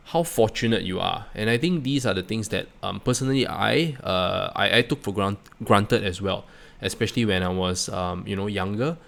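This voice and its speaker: A middle-aged Singaporean-accented man speaks in a lowish voice with plenty of fry. He reflects casually as a channel host, stumbling over repeated words and tagging his phrases with small check-in questions. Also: a bit bright. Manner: halting, repeats words, often tags phrases with "right?" and "you know". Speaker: host of a personal finance channel.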